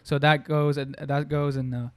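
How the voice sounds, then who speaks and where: A man's voice: a little high, level tone, moderate pace, clear, resonant tone. A young man from Brooklyn whose first language is Italian, chatting loosely as a podcast guest, full of slang, fillers and restarts. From Brooklyn, New York. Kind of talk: casual chat.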